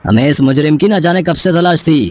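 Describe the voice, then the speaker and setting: A man playing a stern, righteous police inspector in an animated moral story, speaking with accusing authority. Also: on the high side, a little fast, natural voice. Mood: commanding.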